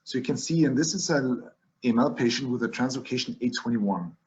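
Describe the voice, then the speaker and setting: A man's voice: mid-range voice, lively intonation, fairly quick, darkish tone, lo-fi sound. A man with a German accent, a physician lecturing on diagnosis over slides, explaining step by step with frequent restarts and fillers.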